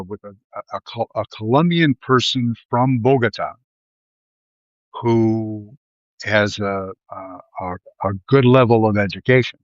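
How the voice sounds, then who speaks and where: A man's voice: fairly low pitch, a bit bright, dry recording, dull audio. A man from Ohio with a Midwestern accent, hosting a live video and talking in a rambling, digressive way with many fillers and restarts. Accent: Midwestern American. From Ohio, USA. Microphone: live stream mic.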